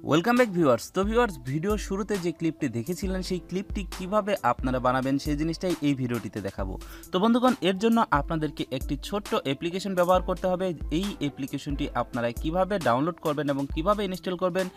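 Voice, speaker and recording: A man's voice: sits high, lively intonation, fast, soft background music. A man hosting a step-by-step app tutorial, repeatedly addressing viewers as friends. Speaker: tutorial host.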